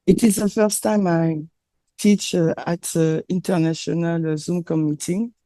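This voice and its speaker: A woman with a French accent, French her first language, delivering a sermon in English with hesitant, filler-laden pauses while an interpreter follows her. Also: fairly low pitch, fairly even intonation, mellow. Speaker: presenter.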